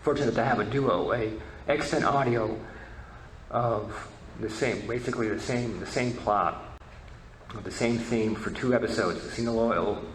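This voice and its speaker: A man giving an informal history lecture, haltingly, often repeating and rephrasing his words. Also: lowish voice. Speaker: presenter, media researcher. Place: indoor presentation room. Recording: echoey room, faint background noise.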